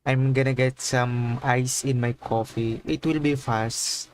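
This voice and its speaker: A man's voice: medium pitch, fairly even intonation, measured pace, a little hiss or hum. A man chatting casually on a gaming livestream, using informal slang and often repeating his phrases.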